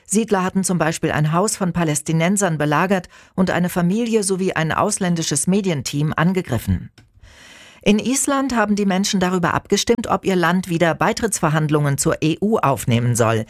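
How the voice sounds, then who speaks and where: A man reading the morning radio news in a measured, formal manner. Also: sits high, no echo, studio quality. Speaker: news anchor. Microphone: studio microphone.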